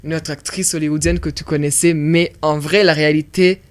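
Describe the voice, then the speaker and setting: A young film director from Burundi speaks in a radio studio interview. His voice sits fairly high for a man. He explains things eagerly and often repeats words while he works out a thought. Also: steady tone, fairly quick.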